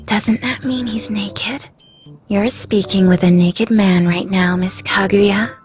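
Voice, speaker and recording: Casting: woman as sly teenage maid, anime audio drama, polite deadpan, medium register, light music underneath. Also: medium pitch, takes it slowly, lightly acted. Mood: teasing. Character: cool, dry teenage maid to a rich girl. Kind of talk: anime fandub radio play acting.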